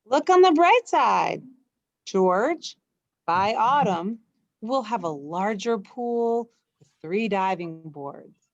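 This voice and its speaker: Slow, expressive woman storyteller in a dry recording. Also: mid-range voice, no room sound, muffled recording. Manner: expressive, puts emphasis into dialogue. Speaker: storyteller reading aloud. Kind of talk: bedtime story reading for children.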